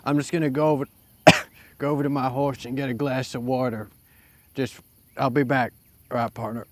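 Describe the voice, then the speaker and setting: A man's voice: mid-range voice, fairly even intonation, normal speed, long pauses, no echo, lightly acted. A man playing a chatty, apologetic Old West townsman with a put-on western accent, coughing and getting sick, who keeps calling people partner. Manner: rambling, calls people partner. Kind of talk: roleplay in a western game.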